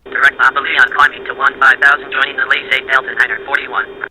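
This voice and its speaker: A man playing a brisk airline pilot in a simulator game, speaking through an aircraft radio filter. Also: mid-range voice, little pitch movement. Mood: brisk, businesslike. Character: male airline pilot reading back instructions, brisk. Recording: hissy aircraft radio transmission.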